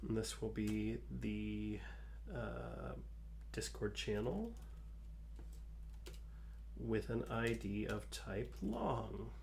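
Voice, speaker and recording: Slow gravelly man coding on stream. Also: lowish voice, a little hiss or hum. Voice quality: soothing. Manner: slow, calm, talks through code with fillers. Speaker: livestream host. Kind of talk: live coding stream, thinking aloud.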